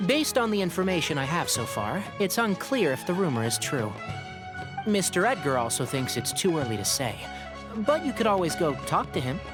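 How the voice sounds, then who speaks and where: An actor playing a helpful, level-headed young forest ranger in a video game, precise and informative. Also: male voice, a little high, very animated, moderate pace, over music.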